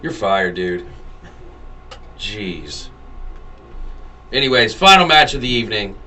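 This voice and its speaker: A low, very lively man on a casual podcast who clowns around and mimics others with big swings in his voice. He sounds distant from the mic, over faint room noise. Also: slow pace. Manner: jokey, acts out quotes with big pitch jumps. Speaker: podcast co-host. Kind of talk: casual chat.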